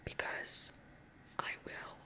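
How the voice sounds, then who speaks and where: Bright whispering Californian young woman. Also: takes it slowly. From California, USA. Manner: rambling and chatty, full of "like" and "um". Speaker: ASMR creator. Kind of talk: whispered ASMR chit-chat.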